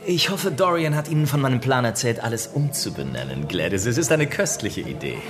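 Radio play: witty male aristocrat , acted by a man, teasing and epigrammatic, highly animated intonation. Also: fairly quick, over music, natural voice. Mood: playful, teasing. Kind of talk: radio drama acting.

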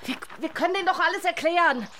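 Very high-pitched, distraught woman. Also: quick pace, noisy background. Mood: pleading. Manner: stammering, pleading. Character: distraught, guilt-ridden woman, stubbornly honest and protective. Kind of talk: German radio drama acting.

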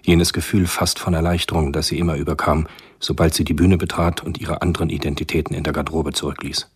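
A man narrating a spy thriller radio drama in a measured, literary storytelling manner. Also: rumbling low, flat delivery, husky, heavily acted. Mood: grave, measured.

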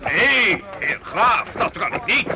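A scornful medieval knight in radio drama, pitched extremely high for a man. He is formal, emphatic and servile to his lord, on a very noisy old recording. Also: lively intonation, slow, heavily acted. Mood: indignant, servile. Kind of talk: old radio play acting.

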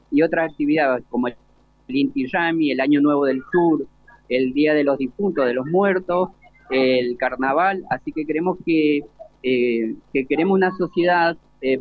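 A man's voice: a little high, dry recording. An Argentine man, a local official, giving an unscripted answer in an interview. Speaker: municipal official as guest. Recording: thin phone-like radio sound.

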